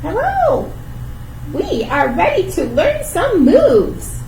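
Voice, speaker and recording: Woman squeaking as tiny eager squirrel. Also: wildly swooping pitch, slow pace, heavily acted. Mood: eager, pleading. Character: tiny eager squirrels begging to learn dancing. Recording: roomy, distant mic, faint noise.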